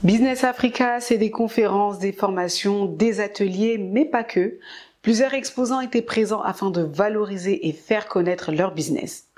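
Young woman host, clear upbeat scripted delivery, lapel mic in a studio. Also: mid-range voice, normal speed, a little mellow. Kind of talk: scripted presenting to camera. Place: studio with light backdrop.

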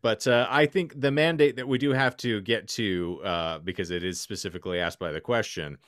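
A man with a low voice hosts a casual podcast discussion from home. He talks in long, formal phrasing with dry humor, close on the mic in a dry room. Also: brisk, a bit bright, dry recording. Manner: wordy, formal vocabulary with dry joking. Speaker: podcast host. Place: home room, remote video call. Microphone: close microphone.

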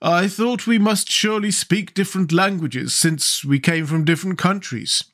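A man voicing a naive, slow-witted pumpkin-headed man in a storybook, earnest, simple and deferential. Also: high-pitched, a bit bright, voice pushed up. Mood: sheepish. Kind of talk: storybook character voice.

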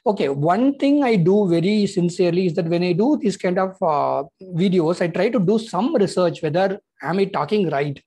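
A man in his thirties with a Tamil accent and a slightly husky, breathy voice talks casually to camera in English, his native language being Tamil. He fills pauses with "uh" and "you know" as he explains things in a halting, friendly vlog manner, recorded on a lapel mic. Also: high voice, lively intonation, warm tone. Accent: Indian English, Tamil. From Tamil Nadu, India. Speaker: health insurance consultant.

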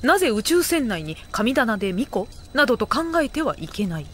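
A woman narrating a scripted anime comedy audio drama, with deadpan asides. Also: on the high side, normal speed, slight background noise.

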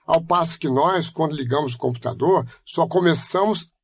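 A man in his seventies from Brazil, speaking with a Rio de Janeiro accent and giving a measured, reflective oral history interview. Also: fairly high pitch, husky, no room sound. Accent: Rio de Janeiro Portuguese. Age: 70s. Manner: measured, reflective, explanatory, frequent "né?" tags. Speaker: interviewee, retired teacher.

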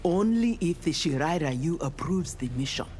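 An actress playing a proud, earnest young armored ninja woman in a video game, speaking in formal, measured, resolute lines. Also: low-pitched, lively intonation, darkish tone, slight background noise. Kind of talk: dramatic video game dialogue.

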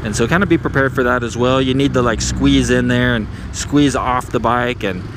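A man's voice: medium pitch, moderate pace. Chatty man riding a motorbike through traffic. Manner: easygoing, chatty, lots of "right?" tags. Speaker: motovlogger. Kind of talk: casual how-to narration while riding. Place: on a motorcycle in city traffic outdoors. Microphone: helmet or handlebar action camera. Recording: street and engine noise behind.

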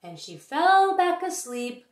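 A middle-aged woman reading a picture book aloud to young children in an expressive teacherly way, pausing to address her listeners. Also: very high voice. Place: small bedroom, indoors. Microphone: webcam or laptop at arm's length. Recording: roomy home webcam recording.

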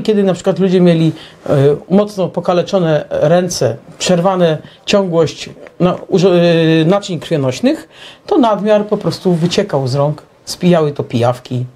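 A middle-aged man co-hosting a talk show, explaining his opinions in a halting monologue. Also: a little high, darkish tone.

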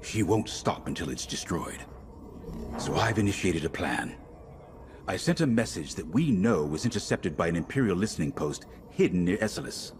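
Deep-voiced rebel commander. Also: male voice, animated, background noise. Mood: determined. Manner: authoritative, measured. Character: commanding middle-aged male rebel commander, calculating, secretive. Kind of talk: video game briefing dialogue.

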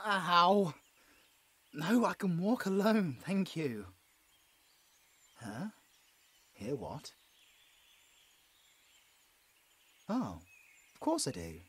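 A man's voice: high-pitched, sing-song, slow pace, long pauses, a bit bright, breathy edge, exaggerated voice. A British man playing a nervous, squeamish young man who pleads and stammers his way through a roleplay audio drama. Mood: wary relief. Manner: stammering, flustered, exasperated.

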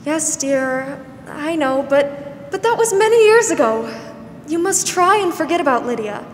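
A woman's voice: high-pitched, animated, measured pace. A woman acting a kindly, patient older country spinster in a 1940s-style radio drama. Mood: soothing. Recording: roomy stage hall, faint noise.

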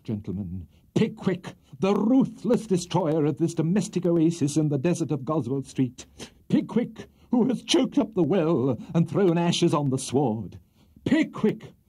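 A pompous, bombastic courtroom barrister, as a male reader puts him on, his husky voice climbing high in theatrical swoops of appeal. It has a warm, mellow tone. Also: full character voice. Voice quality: grandiloquent, soaring declamation.

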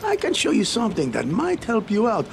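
An actor playing a shady middle-aged hustler in a video game, brash and cynical, with a foul-mouthed dealmaker's patter. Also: male voice, sits high, huge pitch swings, brisk, gravelly, background noise, exaggerated voice. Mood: salesman-like, scheming. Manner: foul-mouthed, wheeling-and-dealing talk. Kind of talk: video game cutscene acting.

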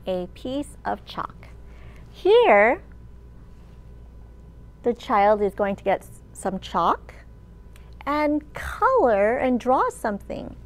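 A woman in her thirties, a children's librarian leading storytime with an animated, sing-song delivery for young children. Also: fairly high pitch, slow pace, pauses often. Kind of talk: children's storytime read-aloud and teaching. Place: large open library room, indoors.

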